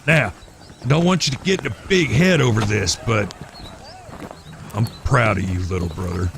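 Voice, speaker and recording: An actor playing a wry, gruffly affectionate older brother in an Old West audio drama, teasing in a drawl. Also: male voice, lowish voice, normal speed, noisy background. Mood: teasing pride. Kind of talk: Western audio drama acting.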